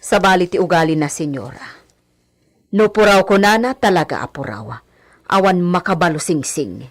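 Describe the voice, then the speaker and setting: A woman's voice: on the low side, expressive, moderate pace, lightly acted. An actress playing an outspoken, loyal female servant with a Filipino accent in a radio comedy-drama, emphatic and gossipy.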